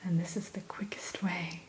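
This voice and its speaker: A woman whispering a gentle, courteous ASMR roleplay as the keeper of a fantasy creature shop, in a breathy voice. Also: lowish voice, low-quality recording. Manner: slow, gentle, courteous shopkeeper patter. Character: gentle, courteous female keeper of a dragon hatchery. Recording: steady hiss behind close whisper.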